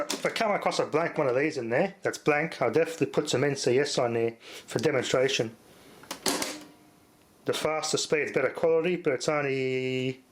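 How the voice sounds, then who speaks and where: A man's voice: mid-range voice, background noise. A man with an Australian accent giving a casual, unscripted demonstration in a laid-back, rambling, matter-of-fact way. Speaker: host of a repair channel.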